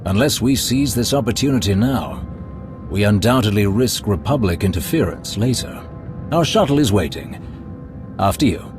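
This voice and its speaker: A man's voice: fairly low pitch, lively intonation, lightly acted. A man playing a suave, scheming Sith lord. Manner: suave, ingratiating, measured. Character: smooth, scheming male Sith lord, courtly and sly. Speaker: Imperial schemer. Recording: game cutscene over loud music.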